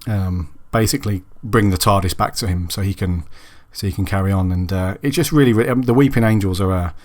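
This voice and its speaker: A man hosting a solo podcast who sounds raspy and husky from a cold. He chats casually and rambles, with many ums and restarts. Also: deep voice, a little fast, a little mellow. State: hoarse from a cold. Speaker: podcast host.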